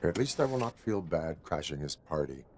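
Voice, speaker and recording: Stoic robot cop for a video game, really low, deadpan and formal. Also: male voice, moderate pace, background noise. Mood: stoic, flat. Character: stoic male cyborg police officer, dutiful and grim.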